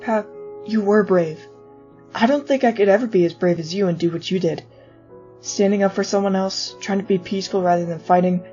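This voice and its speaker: A woman's voice: mid-range voice, fairly quick, warm tone, natural voice. A woman playing a protective, wisecracking teenage skeleton big brother, casual and tender, in a fan comic dub. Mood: tender, proud. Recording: muffled lo-fi audio over music.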